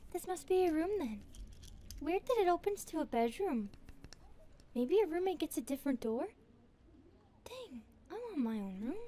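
A woman's voice: extremely high pitch, warm tone, a little hiss or hum, exaggerated voice. A woman voicing a nervous, chatty young grasshopper girl full of self-doubt in an ASMR roleplay. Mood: chatty, excited. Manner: hesitant, chatty. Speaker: roleplay voice actress.

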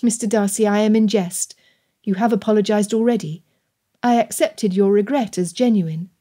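A woman voicing a spirited, poised young gentlewoman in a period romance audiobook, formal yet candid and teasing. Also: mid-range voice, fairly even intonation, normal speed, close to an everyday voice. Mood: candid, kind.

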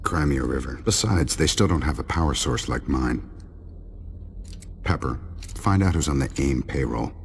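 An actor playing a cocky, sardonic billionaire inventor superhero with a flippant, dry delivery in a video game. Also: male voice, extremely low pitch, lively intonation, normal speed, long pauses, in a large hall, a little hiss or hum. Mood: smug, dry.

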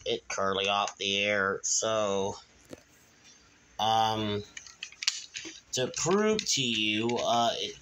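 Male video host, casual and repetitive, halting slow delivery, forceful tone, slight background noise. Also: fairly low pitch, rough recording. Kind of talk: casual show-and-tell narration. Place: room at home at night.

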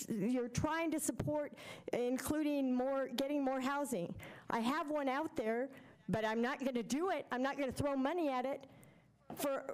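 A middle-aged woman speaks at a council podium microphone, her voice sitting somewhat high. She is blunt and exasperated as she makes her public comment. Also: darkish tone. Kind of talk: public comment at a city meeting. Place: council chamber.

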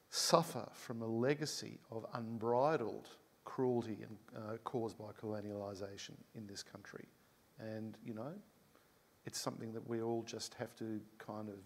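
A man's voice: mid-range voice, in a large hall. A man, a composer answering an audience question on a stage panel. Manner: animated, laughing, gestures while talking. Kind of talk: reflective panel talk. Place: concert hall stage, panel before an audience.

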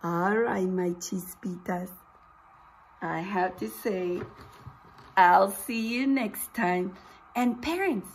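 A woman in her forties, a preschool teacher reading a picture book aloud, exclaiming and putting questions to young listeners in an animated, expressive way. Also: a little high, slight background noise, rough recording. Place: small indoor room with posters.

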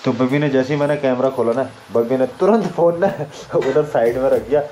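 A man's voice: mid-range voice, brisk, dark tone. A man, chatting playfully to viewers in a casual vlog. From Uttarakhand, India. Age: 20s. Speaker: vlogger. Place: village house kitchen and stone courtyard. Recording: music laid under the voice. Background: music.

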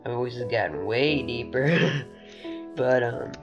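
A teenage boy with a recently deepened voice, rambling and chatty as he gives casual commentary over his own gameplay. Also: takes it slowly, dull audio, over music. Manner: rambling, chatty, laughs often. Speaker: gaming channel host.

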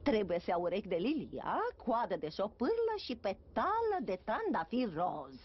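A woman's voice: mid-range voice, very animated, husky. An actress playing a vain, scheming wicked queen in a dubbed cartoon, theatrical, able to wheedle like a sweet old pedlar or turn venomous. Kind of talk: dubbed fairy-tale cartoon acting. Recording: dull lo-fi cartoon soundtrack, faint music.

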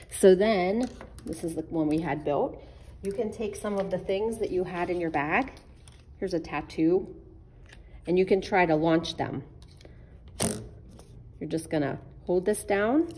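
A woman's voice: on the low side, a little slow, pauses often, mellow, slight background noise. A woman giving patient, simple step-by-step craft instructions for children, as a children's librarian would. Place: indoors at a wooden table.

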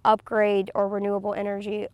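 A woman's voice: medium pitch, monotone, a little fast, no room sound, muffled recording. Woman farmer speaking outdoors, filler-heavy. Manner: conversational, frequent "um" fillers. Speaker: farm family member. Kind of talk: interview. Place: outdoors in tall grass by trees.

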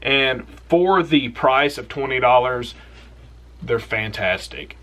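Young guy hosting an outdoors vlog; bright, forceful tone, loose and rambling, keen about his picks, close to the camera in a small room. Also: mid-range voice, slow. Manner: relaxed, rambling, enthusiastic with trailing asides. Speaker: outdoors channel host. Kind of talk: casual product recommendation vlog.

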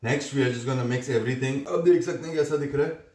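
Young male cooking host, casual mixed-language voice-over, relaxed home-kitchen demo. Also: medium pitch, moderate pace, slightly rough. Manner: mixes Hindi-Urdu with English phrases, relaxed and chatty. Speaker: home cook hosting a cooking channel.

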